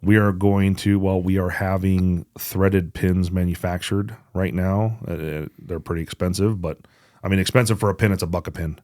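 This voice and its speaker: A man's voice: deep voice, steady tone, moderate pace, darkish tone, dry recording, studio quality. A man co-hosting a podcast, giving product updates in an informal, conversational way. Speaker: podcast co-host.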